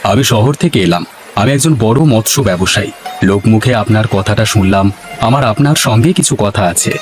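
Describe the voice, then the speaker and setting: A man voicing a businesslike, generous city fish merchant in an animated folk tale, speaking in a formal, measured, authoritative way. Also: on the low side, natural voice. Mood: businesslike, assured. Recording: music behind the voice.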